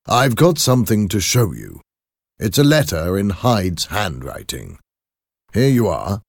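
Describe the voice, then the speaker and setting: A man voicing a grave, concerned lawyer in a storybook, with a measured, probing manner. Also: fairly low pitch, wildly swooping pitch, creaky, dry recording, clean studio sound, pitched above the performer's own voice, exaggerated voice. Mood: serious. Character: grave, concerned male lawyer, questioning and suspicious. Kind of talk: audiobook character acting.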